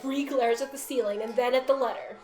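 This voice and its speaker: A woman's voice: high voice, steady tone, a little fast, warm tone. A giggly woman narrating a story casually among friends and joining the banter. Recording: roomy echo, faint music behind.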